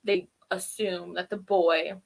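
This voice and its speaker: A woman co-hosting a review show, casually recapping and commenting in an informal, animated way. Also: on the low side, takes it slowly, gravelly. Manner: informal, animated retelling with acted-out quotes. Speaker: co-host of a TV episode review show.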